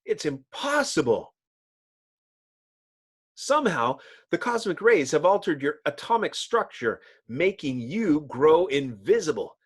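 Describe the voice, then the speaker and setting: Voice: mid-range voice, sing-song, a little slow, slightly rough. A performer voicing an earnest, authoritative male scientist with a declarative, melodramatic delivery in a comic-book drama. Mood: shocked, explaining. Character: Reed, earnest authoritative scientist man, strained.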